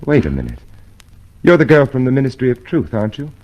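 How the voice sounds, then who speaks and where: A man's voice: mid-range voice, very animated, quick pace, a little mellow, low-quality recording. An actor playing an anxious, hopeful man in secret revolt in a radio drama, speaking hesitantly and fretfully. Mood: anxious, urgent. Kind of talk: old-time radio drama acting.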